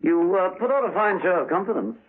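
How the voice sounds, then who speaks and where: An actor playing a suave, brooding gentleman secret agent in a 1970s radio drama, clipped and wry. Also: high voice, very animated, fast, slight background noise. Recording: narrow muffled 1970s radio recording.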